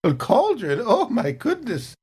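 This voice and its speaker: A woman performs a fussy, folksy cook in a tabletop sci-fi game. Her pitch swings freely as she coyly plays innocent, sweet on the surface and gossipy underneath. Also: huge pitch swings, a little slow, darkish tone, husky, exaggerated voice.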